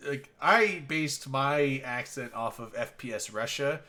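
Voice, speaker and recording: A man's voice: medium pitch, expressive, measured pace, sharp tone. A man co-hosting a casual gaming podcast, rambling through a story and swearing casually.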